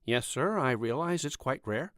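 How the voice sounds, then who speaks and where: A man's voice: mid-range voice, animated, normal speed, a bit bright, dry recording, clean studio sound, pitched above the performer's own voice, lightly acted. A man voicing a shy, earnest young corporal for an audiobook, hesitant, polite and evasive. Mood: politely reserved. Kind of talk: audiobook character dialogue.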